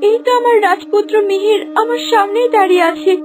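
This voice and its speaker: Super high scared young girl in a fairy tale cartoon, pleading, music behind. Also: fairly even intonation, fast. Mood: alarmed, puzzled. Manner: plaintive, pleading. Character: frightened innocent young woman, pleading and trusting. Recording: voice under loud background music.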